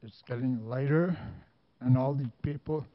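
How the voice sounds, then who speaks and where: A man haltingly sharing a personal vision with a church group, with many restarts. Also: medium pitch, highs cut off.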